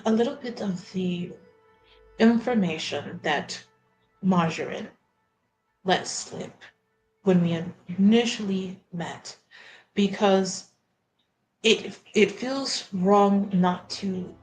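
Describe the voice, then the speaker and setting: A woman playing a tabletop roleplaying game, talking in a hesitant, halting way as she searches for words. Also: medium pitch, a little mellow, faint music behind, poor audio. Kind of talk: unscripted in-character roleplay and table talk.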